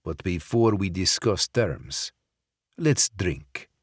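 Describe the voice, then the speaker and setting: A man voicing a cold, menacing Russian crime boss who gives slow, commanding threats. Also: sits low, expressive, breathy edge, no room sound, clean studio sound, exaggerated voice. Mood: smooth.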